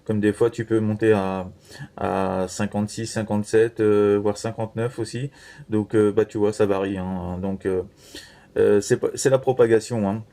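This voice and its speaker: A man holds a casual amateur radio conversation. His voice is fairly deep and soft-edged, with a dark tone. He speaks haltingly and reassuringly, tagging many phrases. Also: little pitch movement, gravelly. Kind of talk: casual two-way radio chat.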